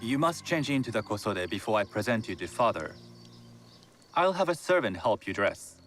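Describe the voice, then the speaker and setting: A man's voice: on the low side, very animated, moderate pace, fairly bright tone, faint music behind. An actor playing a haughty, condescending nobleman's son in a video game, with clipped delivery. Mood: disdainful. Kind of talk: video game cutscene acting.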